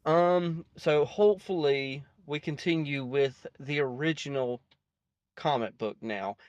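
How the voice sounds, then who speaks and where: A man hosting a reaction video with casual, rambling commentary, haltingly thinking aloud. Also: a little high, smooth, no echo, dull audio.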